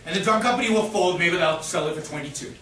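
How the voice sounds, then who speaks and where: A man's voice: high voice, animated, quick pace. Guest speaker, middle-aged man; insistent and restless, recorded in a noisy, reverberant room. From Israel. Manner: emphatic and argumentative, with frequent restarts. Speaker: guest speaker answering audience questions. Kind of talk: impassioned lecture Q&A. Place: university lecture hall. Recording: echoey noisy hall from far off.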